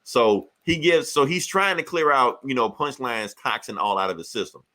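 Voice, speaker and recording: A man hosting a podcast, retelling comic book stories in casual, profane slang with animated delivery. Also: mid-range voice, moderate pace. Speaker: podcast host.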